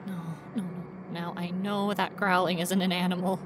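A woman playing a frightened, stubborn young female ghost researcher in a first-person horror audio drama, with an intense, breathy delivery. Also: on the low side, little pitch movement, normal speed, noisy background, lightly acted. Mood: panicked. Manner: intense, breathy, dropping to whispers and rising to cries.

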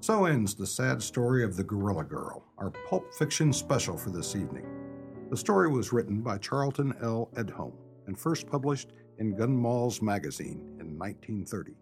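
A man's voice: lowish voice, big pitch swings, normal speed. A man reading a scripted radio announcement in the role of show announcer. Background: music.

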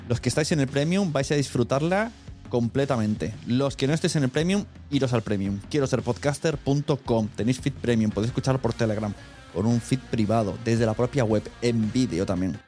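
A man's voice: mid-range voice, fairly quick, mellow, soft background music. A man with an accent from Spain, hosting a podcast in a casual, conversational style. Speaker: podcast host.

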